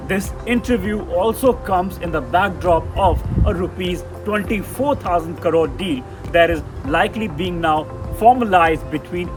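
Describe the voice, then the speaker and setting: A man with an Indian accent hosting an interview, speaking formally with effusive, grandiose phrasing. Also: on the high side. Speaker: interviewer and show host. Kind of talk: on-camera interview with formal scripted introduction.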